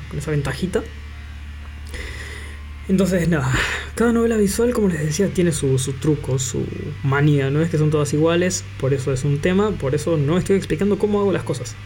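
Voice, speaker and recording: A man giving an informal software demonstration, improvising and thinking aloud as he presents. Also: on the high side, a little hiss or hum. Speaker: tutorial presenter.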